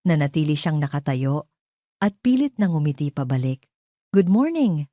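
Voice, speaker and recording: A woman's voice: low voice, very animated, normal speed, warm tone, dry recording, studio quality. A woman with a Filipino accent reading a scripted dramatic audio story as its narrator.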